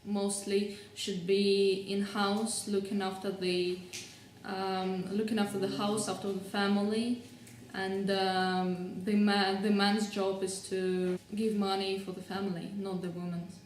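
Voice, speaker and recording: A woman's voice: medium pitch, flat delivery, a little slow, slight background noise. Hesitant woman, echoey room. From Russia. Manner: hesitant, searching for words. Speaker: interviewee. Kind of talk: interview answers. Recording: echoey room, mic at a distance.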